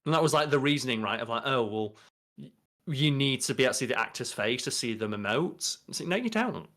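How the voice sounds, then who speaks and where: Man in his thirties, medium pitch, casual podcast talk, opinionated, swears freely. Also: animated, fast, fairly bright tone. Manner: opinionated, sweary, mock-quoting. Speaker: podcast co-host. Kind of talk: casual chat. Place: home, at a desk indoors.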